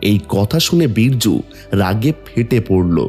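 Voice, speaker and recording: A man's voice: fairly low pitch, animated, measured pace, darkish tone, faint music behind. A man narrating a scripted cartoon folk story.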